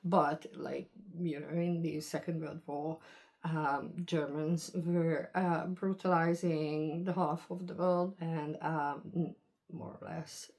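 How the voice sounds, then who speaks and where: A woman speaks to camera in a deep, low voice. She talks slowly and meanders, pausing and hedging her points. It sounds like an unscripted personal vlog. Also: steady tone, darkish tone. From Hungary. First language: Hungarian. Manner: rambling, hesitant, hedging. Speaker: vlogger. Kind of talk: casual monologue to camera.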